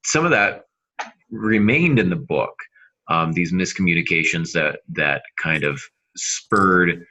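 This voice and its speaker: A man co-hosting a casual podcast discussion, speaking in a halting way with self-deprecating humor, on slightly dull audio. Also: low-pitched, expressive, pushed and bright. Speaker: podcast co-host and novelist.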